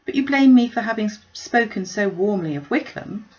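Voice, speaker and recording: A woman's voice: mid-range voice, animated, normal speed, a little mellow, lo-fi sound, voice pushed up, close to an everyday voice. Woman acting a spirited, wry young Regency lady. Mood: earnest. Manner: wry, articulate, emphatic. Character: witty, spirited, self-possessed young lady of Regency England. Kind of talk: dramatised classic novel reading.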